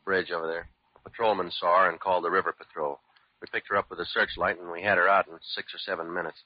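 Deep-voiced, cynical American police lieutenant. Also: male voice, level tone, fast talker. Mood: dry, cynical. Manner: gruff, laconic, hard-boiled. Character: cynical, tough, world-weary male police lieutenant. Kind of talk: classic American radio drama acting. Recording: dull, roomy old radio recording.

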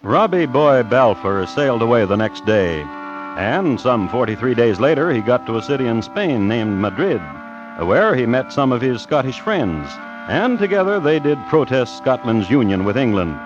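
An actor playing a dry, deliberate crime connoisseur who hosts and narrates an old-time radio show. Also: male voice, medium pitch, very animated, music in the background, close to an everyday voice. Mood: dry storytelling. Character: dry, deliberate male crime connoisseur telling murder tales. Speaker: host and narrator. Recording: dull vintage radio recording.

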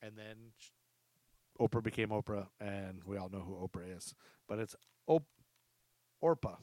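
A man's voice: on the low side, normal speed, darkish tone, no echo. A man hosting a podcast, telling true crime stories partly read from notes, with informal, joking asides. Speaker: podcast host. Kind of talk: true crime storytelling, part read from notes, part casual chat.

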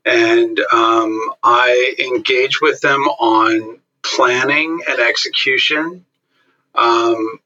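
Measured man explaining over a dull remote line. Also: mid-range voice, fairly even intonation, bright, forceful tone. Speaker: interview guest, finance executive. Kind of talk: casual interview.

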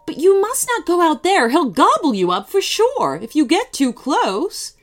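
A woman playing a kindly, motherly baker who gently cautions, in a children's storybook reading. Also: very high-pitched, sing-song, measured pace, faint music behind, pitched above the performer's own voice, full character voice. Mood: cautioning.

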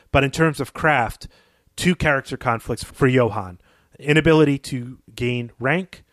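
A man talks through fiction as a podcast co-host, in a medium-pitched voice. He explains his points thoughtfully and presses them home. The sound is dry, with no room echo. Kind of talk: analytical literary discussion.

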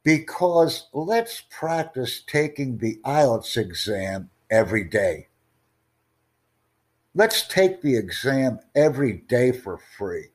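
A male tutor hosts a teaching podcast, answering listeners' questions. He speaks slowly and formally in a measured, mid-range voice, often putting rhetorical questions to his audience. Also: mid-range voice, very animated. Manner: slow, formal, deliberate, asks rhetorical questions. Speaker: IELTS tutor and podcast host.

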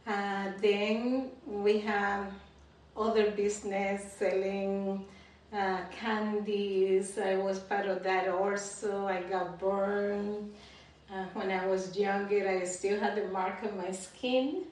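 A woman's voice: mid-range voice, flat delivery, slow, a little mellow. A woman from the Dominican Republic with a Dominican Spanish accent, telling her life story as an interview guest at home. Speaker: interview guest giving testimony. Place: indoors at home. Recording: very echoey room, distant mic, faint noise.

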